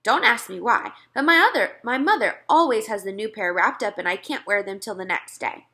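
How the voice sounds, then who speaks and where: A woman narrating a children's story as an expressive read-aloud. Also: mid-range voice, a little fast.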